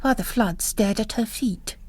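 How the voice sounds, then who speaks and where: A woman's voice: medium pitch, measured pace, a little mellow, husky, dry recording. A woman reading literary fiction as a narrator, in a dramatic audiobook style.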